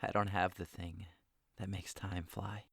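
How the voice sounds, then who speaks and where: A man's voice: sits low, flat delivery, a little slow, vocal fry, husky, no echo, full character voice. A brooding, lovesick young man, played by an actor in an audio drama. He sighs and lingers over his sorrow, then rises into passionate outbursts. The recording is dry and close. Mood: melancholy. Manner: sighing, wistful, swings into passionate outbursts.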